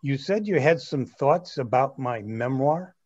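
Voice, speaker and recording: A man's voice: medium pitch, lively intonation, measured pace, a little mellow, husky, dull audio. A young man playing an earnest, self-absorbed twenty-something grandson in a reader's theatre comedy. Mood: puzzled, curious.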